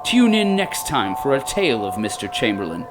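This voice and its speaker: An actor playing a grave, ominous narrator, giving measured, theatrical narration for a radio serial. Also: male voice, medium pitch, sing-song, lightly acted. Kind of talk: scripted dramatic narration. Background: music.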